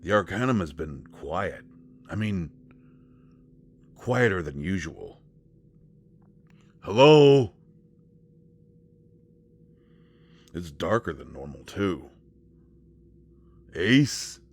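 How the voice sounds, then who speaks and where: Audio drama role: male actor as plain-spoken, nervous, protective country man, deep register, halting folksy delivery, slight noisy ambience. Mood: awkward, affectionate, then searching, uneasy. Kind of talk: intimate roleplay audio drama acting.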